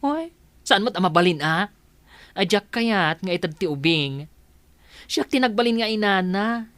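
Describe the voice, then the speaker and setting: A woman's voice: medium pitch, animated, a little slow, fairly bright tone, no room sound. A woman playing an indignant, blunt foster mother in a radio drama, scolding in an emphatic, confrontational way. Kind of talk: Ilocano radio drama acting.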